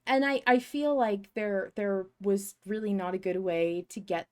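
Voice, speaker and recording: A woman in casual podcast conversation, her voice sitting at a middle pitch. She is animated and emphatic, rambling informally through her thoughts as a co-host would with a friend. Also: unhurried, clear, resonant tone. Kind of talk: casual fan podcast chat.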